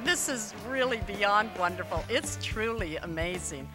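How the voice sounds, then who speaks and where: A woman's voice: mid-range voice, animated, a little slow, music in the background. A woman giving a public speech as a university leader at a ceremony.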